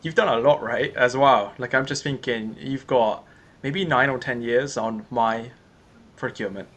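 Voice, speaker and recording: A man's voice: mid-range voice, steady tone, unhurried, fairly bright tone. A young man hosting a podcast interview, chatty and loose in how he phrases his questions. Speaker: podcast host. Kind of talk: casual interview chat.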